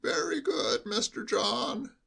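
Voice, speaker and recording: A man reading a story aloud and voicing a timid old male butler with a high, bleating, quavering voice and a slow, deferential, hushed way of talking. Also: gravelly, husky, exaggerated voice. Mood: timid, confiding.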